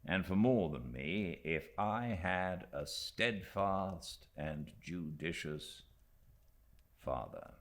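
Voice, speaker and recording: A British man reading a classic novel as an audiobook narrator, in an expressive literary storytelling style. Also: low-pitched, slow pace. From Britain.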